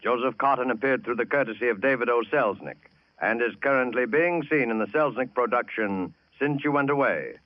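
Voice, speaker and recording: A man's voice: medium pitch, brisk, no echo. A man making formal, dramatic scripted announcements as a 1940s radio program announcer. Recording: muffled old radio, loud music.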